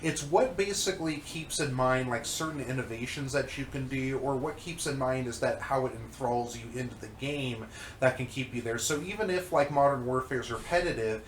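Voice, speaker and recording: A man's voice: mid-range voice, fairly quick, echoey room, a little hiss or hum. A congested man rambling casually about old games, with many fillers and restarts. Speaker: co-host reviewing old magazines. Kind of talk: casual chat.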